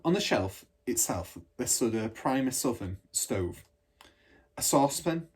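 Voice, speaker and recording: Man reading a kids story out loud, expressive teacher style, mid pitch, a bit husky. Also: measured pace. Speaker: teacher reading aloud to pupils. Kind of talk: storybook narration.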